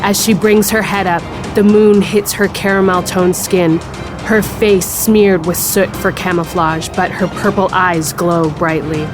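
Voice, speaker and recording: A woman's voice: on the low side, fairly even intonation, a little slow. A woman reading scripted fantasy narration for an audio drama. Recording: prominent music bed behind narration. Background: music.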